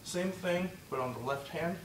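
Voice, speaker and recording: A man teaching a movement drill step by step, encouraging and repeating himself, slightly hoarse and short of breath. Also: on the high side, moderate pace, echoey room, recorded from a distance, slight background noise, rough recording. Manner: encouraging, repeats "just like that" and "good". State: losing his voice, a bit out of breath. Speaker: instructor. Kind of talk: step-by-step demonstration lesson.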